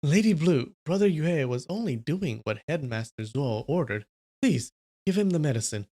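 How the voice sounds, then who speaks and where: A man voicing a calm, authoritative male leader of a martial arts school, measured and formal, in a story. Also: a little high.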